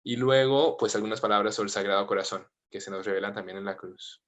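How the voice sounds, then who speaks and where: Thirties priest with a low voice and a mixed accent, gentle and informal, heard over a video call. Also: male voice, quick pace. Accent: mixed Latin American and Spanish. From United States, family from Colombia. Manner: gentle, informal, with frequent fillers.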